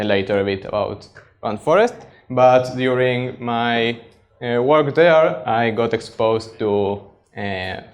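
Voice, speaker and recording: A man in his thirties from Argentina with an Argentine Spanish accent, a native Spanish speaker giving a technical meetup presentation to an audience. He explains plainly and pauses often. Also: fairly low pitch. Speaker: conference speaker, data scientist. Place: meetup stage before an audience.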